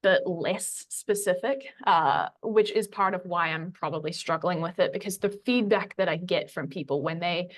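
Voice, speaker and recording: A woman's voice: lowish voice, moderate pace, few pauses. A woman talking casually as a podcast guest, informal and self-deprecating, phrasing things loosely. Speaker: podcast guest, fitness coach. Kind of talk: casual conversational talk.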